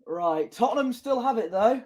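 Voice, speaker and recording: A young man co-commentating on a football match as a fan, excitable and quick to laugh, stretching out his exclamations. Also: very high voice. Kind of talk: casual watchalong co-commentary.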